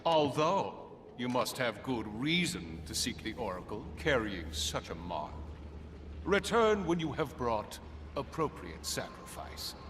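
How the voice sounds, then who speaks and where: An actor playing a haughty, cruel master who guards an oracle in a video game, imperious and scornful in a theatrical way. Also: male voice, medium pitch, big pitch swings, unhurried, a bit bright, slight background noise. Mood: sneering, amused. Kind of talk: video game villain acting. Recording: clear music behind the voice.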